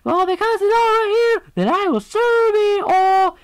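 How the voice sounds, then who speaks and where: A loud and very high-pitched gamer character, put on by an amateur voice actor. The delivery is brash and swaggering, swinging widely in pitch as it boasts. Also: animated, unhurried. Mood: cocky, boastful. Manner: brash trash talk.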